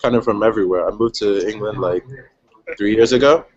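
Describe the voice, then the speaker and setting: A man's voice: on the low side, fairly quick, lo-fi sound. A young man who grew up in Switzerland, a guest rapper chatting casually in a laid-back, slangy way with frequent laughter. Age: young adult. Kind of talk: casual chat.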